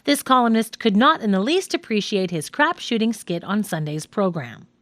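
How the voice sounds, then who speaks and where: A woman narrates a nonfiction audiobook with articulate, measured delivery. Her mid-pitched voice moves with lively intonation through formal prose, in a very dry, close sound. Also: no room sound.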